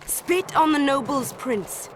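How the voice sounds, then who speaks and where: A woman's voice: high-pitched, animated, slow, background noise. An actress playing a resolute young female rebel commander in a video game, firm and plain-spoken. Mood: determined. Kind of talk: dramatic video game acting.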